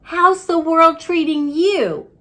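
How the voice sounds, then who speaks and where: A woman's voice: very high voice, animated, takes it slowly, pushed and bright, pitched above the performer's own voice, heavily acted. A woman voicing a hearty, chummy male janitor with a theatrical streak in a children's storybook. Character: cheery dramatic male janitor, hearty and chummy with classroom pets.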